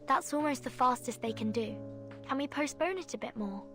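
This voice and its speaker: A calm, measured game character explains plans in a slightly high, even voice, voiced by a woman. Game music sits softly in the background. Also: lightly acted. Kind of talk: game voice acting.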